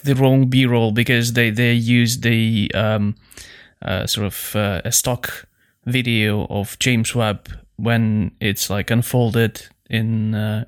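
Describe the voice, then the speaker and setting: A man with a mid-pitched voice chats casually as a podcast co-host. His talk is halting, and he often repeats words while he finds his way through a sentence. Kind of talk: casual chat.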